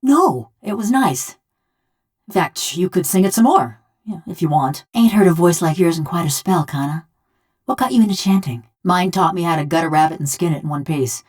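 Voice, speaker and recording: A woman voicing a bitter, sardonic construct woman in a video game, a rough rural killer who talks in folksy, ungrammatical, taunting speech. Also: fairly low pitch, expressive, normal speed, darkish tone. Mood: wry, curious. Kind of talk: video game character dialogue.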